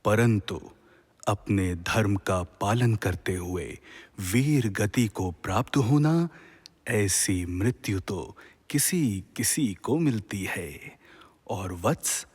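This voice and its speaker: A man plays a wise, serene deity from a mythological radio play. The voice is low and a little husky, with very unhurried delivery. Every phrase is solemn, formal and measured, like counsel to a young warrior. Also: lively intonation, heavily acted. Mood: solemn, consoling. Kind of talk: Hindi mythological audio drama.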